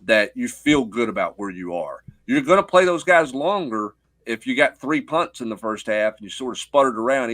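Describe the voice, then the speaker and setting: A man's voice: mid-range voice, fairly bright tone, no room sound. A middle-aged man giving off-the-cuff sports analysis as a guest, explaining with rhetorical questions and little check-in tags.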